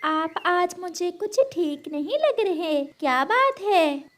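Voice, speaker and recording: Anxious, high-voiced mother bird played by a woman. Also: sing-song, slow, a bit bright, heavily acted. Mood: worried. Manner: worried, questioning.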